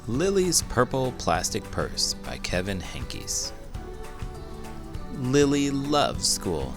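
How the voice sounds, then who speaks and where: A man reading a children's storybook aloud in a theatrical, expressive way, full of big emotion. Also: mid-range voice, slow pace, over music. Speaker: host, children's book reader. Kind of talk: animated storybook read-aloud.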